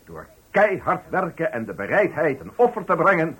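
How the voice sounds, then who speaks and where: A man's voice: a little high, sing-song, normal speed, fairly bright tone, husky, exaggerated voice. A man playing a pompous, patronizing company director, speaking with unctuous ceremony in a Dutch radio play. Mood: pompous, ceremonious. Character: pompous, patronizing male company director giving farewell speech. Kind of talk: Dutch radio play, formal speech acting.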